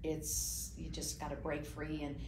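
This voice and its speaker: A woman's voice: deep voice, completely flat delivery, unhurried, gravelly. A woman realtor speaking as a guest in a casual interview, reflective and often trailing off mid-sentence. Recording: echoey room with faint noise.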